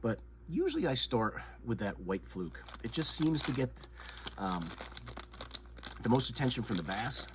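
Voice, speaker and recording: A man's voice: medium pitch, huge pitch swings, a little slow. A man hosting his own fishing channel, talking casually and a bit nasally through a head cold, rambling and repeating himself with lots of ums. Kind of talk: casual show-and-tell chat. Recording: noise with crinkling plastic bags. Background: crinkling soft-plastic bait bags.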